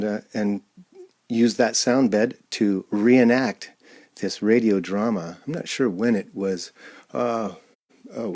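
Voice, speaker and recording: Low-voiced man, halting casual host. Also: a little mellow. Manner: halting, off-the-cuff. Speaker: host and amateur radio-play performer. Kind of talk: casual introduction to an audience.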